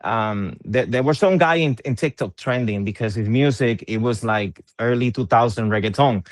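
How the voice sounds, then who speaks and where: A man's voice: medium pitch, moderate pace, dry recording. A middle-aged man from Puerto Rico, an interviewed musician, talking in a casual conversation, hesitant and full of fillers and repeated words.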